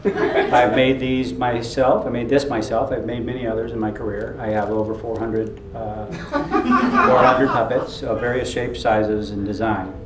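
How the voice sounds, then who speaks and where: A man playing a proud, sincere puppeteer who speaks haltingly and earnestly in a live comedy sketch. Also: medium pitch, fairly even intonation, natural voice. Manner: earnest, self-serious, halting. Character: proud, sincere male puppeteer, fussy about his craft. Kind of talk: live comedy sketch, mock question-and-answer. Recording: echoey room, clearly noisy live recording.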